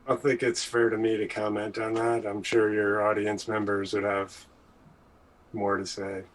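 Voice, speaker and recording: Flat, lowish-voiced man, deadpan. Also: moderate pace, low-quality recording. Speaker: guest panelist. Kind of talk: casual chat.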